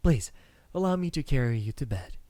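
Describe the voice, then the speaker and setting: A man playing a devoted, courtly vampire lover in an anime-style ASMR roleplay, speaking softly, intimately and formally. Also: mid-range voice, lively intonation, no echo, natural voice. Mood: tender, caring. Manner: soft, slow, intimate, formal and courtly phrasing. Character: devoted adult male vampire, courtly, tender, possessive lover.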